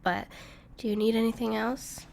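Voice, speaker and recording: A woman playing a gentle, caring dragon friend in an intimate audio roleplay, speaking reassuringly to the listener with some hesitation. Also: fairly high pitch, steady tone, normal speed, vocal fry, a little hiss or hum. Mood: warm, hospitable. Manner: soft-hearted, reassuring, hesitant with small laughs.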